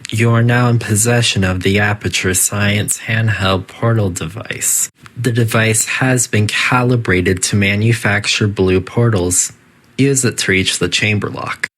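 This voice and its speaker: A video game facility AI acted out by a performer, mid-pitched and measured. It delivers scripted announcements with formal politeness. The detached, deadpan manner carries a cool menace. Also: slight background noise, natural voice. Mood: calm, instructive. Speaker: facility announcer guiding test subjects.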